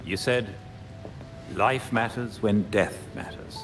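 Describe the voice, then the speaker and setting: An actor playing a dignified, loyal elderly British butler in a game cutscene, speaking in a measured, courteous, dry way. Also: male voice, on the low side, fairly even intonation, fairly bright tone, soft background music. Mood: solemn. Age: older. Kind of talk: video game cutscene acting.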